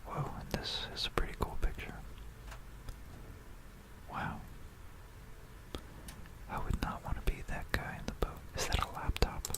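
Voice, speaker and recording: An ASMR host telling history in a breathy, unvoiced whisper, unhurried, pausing and softly repeating phrases, close to a stereo binaural microphone. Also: rough recording.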